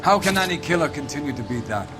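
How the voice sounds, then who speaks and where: A man's voice: a little high, expressive, fast, lightly acted. A man playing a pompous police captain in a 1940s crime game, speaking in grand, preachy oratory. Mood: grandiose. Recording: music behind the voice.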